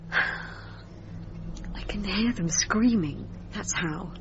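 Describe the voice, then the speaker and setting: Female, haunted patient in radio drama: fragile, faltering, guilt-stricken, mid-pitched voice, muffled sound. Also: noisy background. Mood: anguished. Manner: halting, anxious, stammering speech. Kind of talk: naturalistic radio drama acting. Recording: dull radio recording with music behind.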